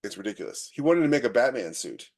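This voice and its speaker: A man's voice: mid-range voice, very animated, fast talker, a little mellow, slightly rough. A man co-hosting a podcast in casual conversation, thinking aloud and talking informally about technology. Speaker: podcast co-host. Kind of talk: casual conversational tech chat.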